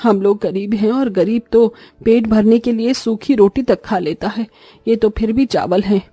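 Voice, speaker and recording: A woman playing a gentle, patient elderly mother-in-law in a cartoon story, speaking with soothing resignation. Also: medium pitch, moderate pace, slightly rough, no room sound, voice pushed down, natural voice. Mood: resigned, consoling. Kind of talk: Hindi cartoon story voice acting.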